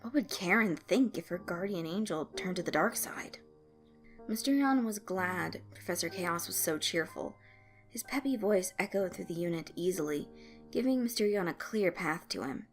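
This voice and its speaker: A woman's voice: lowish voice, animated, normal speed. A woman reading scripted fan fiction as a storyteller. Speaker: narrator. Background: background music.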